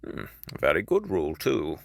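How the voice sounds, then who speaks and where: Low, grave Victorian lawyer. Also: male voice, wildly swooping pitch, vocal fry, no room sound, exaggerated voice. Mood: curious. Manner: deliberate, probing, formal. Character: Mr Utterson, grave, discreet Victorian English lawyer. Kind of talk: audio drama acting.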